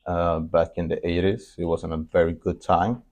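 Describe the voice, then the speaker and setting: A man's voice: sits low, little pitch movement, normal speed, a little mellow. A young man from Sweden, whose first language is Spanish, chatting bluntly as a podcast guest and joking with plenty of swearing. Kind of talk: casual chat.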